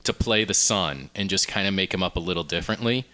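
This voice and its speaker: Bright-voiced, lowish man chatting on a podcast. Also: quick pace. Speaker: podcast co-host. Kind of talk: casual conversational pitching.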